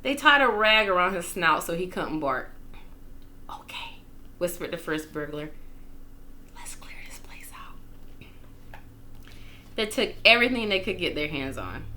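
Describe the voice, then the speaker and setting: A woman reading a children's story aloud in an expressive, playful, dramatic manner, with casual asides. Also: lowish voice, fairly quick, hardly any pauses. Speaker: storytime reader.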